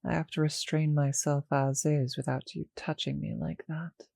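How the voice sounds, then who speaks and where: A performer voicing a seductive, doting vampire with a possessive streak in an intimate ASMR roleplay, in a slow, breathy, purring manner. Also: medium pitch, fairly even intonation, a little mellow, no echo. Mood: teasing, restrained.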